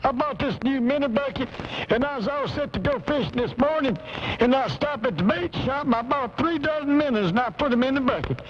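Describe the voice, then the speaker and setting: A man's voice: extremely high pitch, expressive, brisk. A man playing an earnest, hapless amateur fisherman who rambles folksily as a caller in a comedy radio sketch. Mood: earnest, puzzled. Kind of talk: comic radio call-in sketch. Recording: muffled noisy caller line, cassette.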